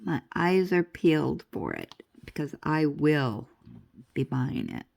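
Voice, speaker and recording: An older woman talks to her viewers in a slow, rambling way, her low voice carrying a gravelly edge. She sounds a bit stuffed up and laughs easily between her thoughts. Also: big pitch swings, a little mellow. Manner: rambling, chatty, laughs often. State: stuffy nose. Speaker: crafting vlogger. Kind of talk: casual chatty vlog.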